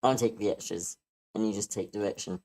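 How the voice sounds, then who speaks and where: Low, gravelly man chatting casually. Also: fairly even intonation, brisk, a little mellow. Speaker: table read participant. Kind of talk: casual online meeting chat.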